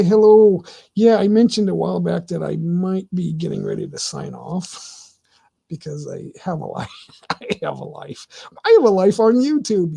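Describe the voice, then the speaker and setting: A middle-aged man hosting his own livestream, talking casually and answering chat in a rambling, opinionated way with many halting restarts. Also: high voice, vocal fry, warm tone, slightly rough. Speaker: livestream host.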